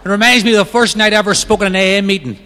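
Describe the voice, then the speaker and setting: Northern Irish man, middle-aged, high bright voice, quick self-mocking speaker, echoey hall. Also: steady tone. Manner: fast-talking, self-mocking humor. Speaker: main speaker at a recovery meeting. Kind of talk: personal recovery talk.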